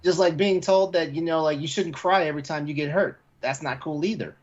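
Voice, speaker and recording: A man's voice: a little high, a little fast, muffled recording. A man co-hosting a podcast, chatting casually and rambling as he repeats words for emphasis. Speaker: podcast co-host.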